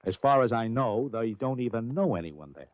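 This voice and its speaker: A man's voice: medium pitch, very animated, moderate pace, dry recording, natural voice. An actor playing a frustrated, plain-talking American betting man in a 1940s radio drama. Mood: frustrated, puzzled. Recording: dull, dry 1940s radio recording.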